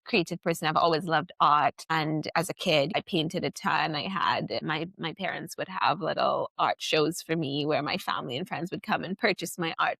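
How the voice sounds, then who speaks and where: A woman's voice: sits low, gravelly, fairly bright tone, dry recording. A woman from Trinidad and Tobago, a podcast guest, talking casually and reflectively, with lots of filler words and restarts. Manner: chatty, many "like" fillers and self-restarts. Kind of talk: casual reflective interview talk.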